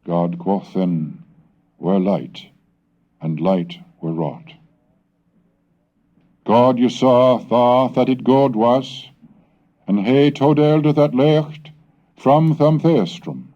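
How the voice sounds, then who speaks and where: A man giving a formal, measured scholarly reading, reciting old verses slowly and carefully, on a dull 1970s cassette recording. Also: mid-range voice, mellow. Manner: measured, deliberate, slows and lifts for the old tongue. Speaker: scholarly reader.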